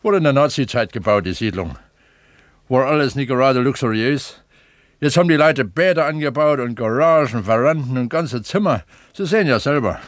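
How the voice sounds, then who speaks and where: An actor playing a modest, colloquial mine worker and amateur writer in a German radio drama from the 1970s. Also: male voice, mid-range voice, no room sound, clean studio sound, close to an everyday voice. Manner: plain, colloquial, unassuming. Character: plain-spoken working-class miner, modest amateur writer and host.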